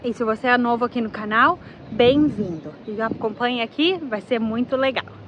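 A woman's voice: fairly high pitch, normal speed. A woman travel vlogger chatting casually and enthusiastically, often correcting herself as she talks. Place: tourist aquarium and museum. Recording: slightly dull lo-fi audio with faint background noise.

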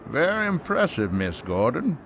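An actor playing a condescending, smug middle-aged government agent in an animated drama. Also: male voice, fairly high pitch, normal speed, a little hiss or hum.

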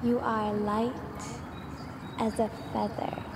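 A woman guiding a sleep meditation with affirmations, speaking in a soothing, measured way with long pauses, in a noisy, reverberant recording. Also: on the high side, little pitch movement. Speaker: meditation guide.

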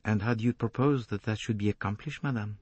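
A man voicing a calm, shrewd, courteous foreign detective, speaking formally and deliberately in an audiobook. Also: on the low side, slightly rough, no echo. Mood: measured. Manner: placid, detached, formal, deliberate phrasing.

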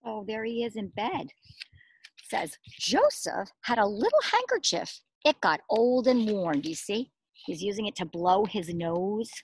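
A middle-aged woman reads a picture book to preschoolers in a very lively, up-and-down voice. Her tone has a husky edge, and the sound is dry and close, without room echo. She speaks like a teacher, putting questions to the children and leaving space for their answers. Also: mid-range voice. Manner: animated, exaggerated expression, asking questions and pausing for answers. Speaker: preschool teacher reading a storybook aloud. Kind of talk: interactive picture-book read-aloud for young children. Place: outdoors among trees and shrubs.

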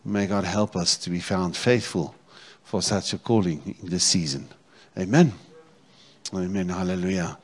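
Low-voiced South African pastor preaching. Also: male voice, normal speed. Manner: repeats phrases, checks in with congregation. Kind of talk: sermon. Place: church stage, indoors. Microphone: handheld microphone.

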